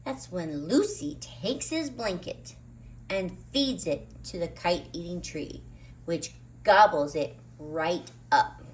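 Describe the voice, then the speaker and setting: A woman reading a children's picture book aloud in an expressive, emphatic way, drawing out key words. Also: lowish voice, slow pace, low-quality recording. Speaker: children's storybook reader. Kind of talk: animated read-aloud narration of a picture book.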